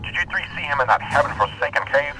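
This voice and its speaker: A man playing a brisk, authoritative police sergeant in an amateur radio drama, forceful and businesslike. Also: fairly high pitch, expressive, music in the background, lightly acted, low-quality recording. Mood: demanding.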